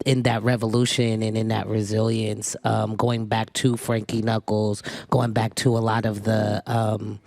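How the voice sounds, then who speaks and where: A man speaking as a DJ on a live panel, talking reflectively with many pauses. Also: on the low side, gravelly, darkish tone, no room sound, clean studio sound. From San Francisco Bay Area. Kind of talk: panel discussion.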